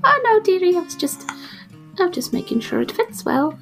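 A woman's voice: extremely high pitch, very animated, a little fast, a bit bright, soft background music, pitched above the performer's own voice, full character voice. A woman voicing a kindly, fussy old granny druid in a tabletop game. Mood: doting, reassuring.

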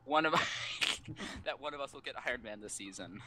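A man's voice: medium pitch, lively intonation, normal speed. A man playing a multiplayer game and bantering casually over a voice call. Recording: noisy mic with clicks.

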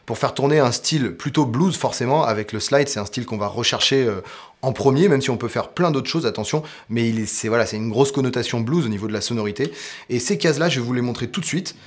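A man's voice: mid-range voice, quick pace. A man, teaching guitar to camera, explaining step by step. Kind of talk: instructional talk to camera. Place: indoor guitar studio with amps. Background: electric guitar playing.

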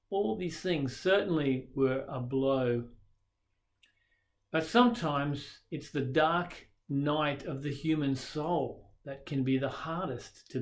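A man in his sixties with an Australian accent, preaching a recorded sermon straight to camera from a small dim room, earnest and reflective. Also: a little high. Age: 60s. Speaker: pastor. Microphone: webcam facing him at desk distance.

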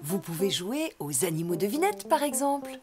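A woman voicing a patient, kindly mother donkey in a children's cartoon, gentle and encouraging. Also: mid-range voice, big pitch swings, moderate pace, natural voice. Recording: very loud music behind.

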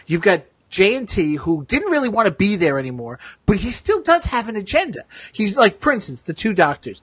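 A man's voice: high-pitched, husky, no echo. A man hosting a podcast, chatting casually as a fan in a talkative, emphatic way. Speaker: podcast host.

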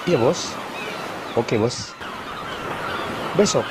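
A woman's voice: very deep voice, very animated, extremely slow, voice pushed down, full character voice. A woman voicing a kind father in a children's cartoon, who speaks in short, friendly replies. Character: kind male father, easygoing.

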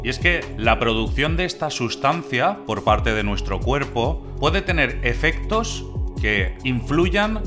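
A man hosting a channel, reading a scripted explainer voice-over that poses rhetorical questions to the viewer. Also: medium pitch. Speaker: channel host. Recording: clear music bed behind the voice.

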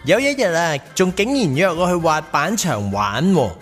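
A campy, nosy Hong Kong man in a radio comedy. His fairly high voice swoops around in a Hong Kong Cantonese accent, teasing over light music. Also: wildly swooping pitch, moderate pace, a bit bright. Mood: gossipy narrating. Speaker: lead and narrator of radio drama. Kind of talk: comedic radio drama narration and dialogue. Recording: radio drama with music underneath.

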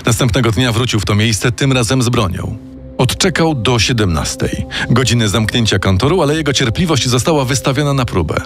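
A man reading a scripted true-crime story in a measured, dramatic storytelling manner. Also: mid-range voice, animated. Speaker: narrator. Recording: music playing underneath.

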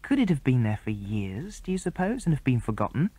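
A man's voice: medium pitch, big pitch swings, fairly quick, mellow, breathy edge, no echo. A man with a British accent voicing a puzzled, polite young girl in a children's adventure storybook. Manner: puzzled, questioning.

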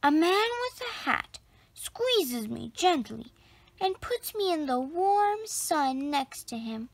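Very slow, high-voiced woman reading a storybook. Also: very animated, slight background noise. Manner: deliberate, dramatic pauses. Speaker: storybook reader. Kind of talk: expressive children's story read-aloud.

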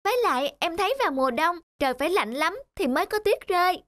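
A woman's voice: very high-pitched, expressive, fast, no room sound, clean studio sound. A woman voicing an innocent, friendly little boy in a cartoon drama, with a childlike, eager, curious manner. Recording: loud children's music behind.

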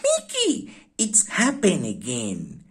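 A man voicing a kindly, excitable female cartoon mouse wife in a storybook, with expressive delivery. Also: mid-range voice, takes it slowly, breathy edge, full character voice. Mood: excited.

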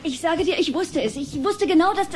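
A fretful mother played by a woman in a radio play, with a high voice. She rambles, stammers and interrupts herself as she worries and objects. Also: lively intonation, a little fast, darkish tone, slight background noise. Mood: agitated. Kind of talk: German audio drama acting.

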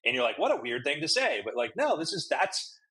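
A man's voice: a little high, sing-song, fairly quick, bright, forceful tone. A man co-hosting a podcast, telling a rambling story in casual chat, recorded on a close webcam in a room at home. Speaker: podcast co-host.

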